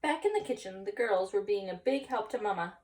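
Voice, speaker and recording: Expressive woman reading a storybook, slightly distant. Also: mid-range voice, brisk. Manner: expressive, stops to explain and question the listeners. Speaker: story reader. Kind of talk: read-aloud storytime for children, with asides.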